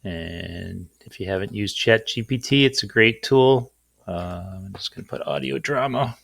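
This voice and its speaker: A man's voice: lowish voice, moderate pace, vocal fry, a bit bright, dry recording, clean studio sound. A man hosting an informal, off-the-cuff screen-share tutorial in a rambling, self-deprecating way. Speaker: tutorial host.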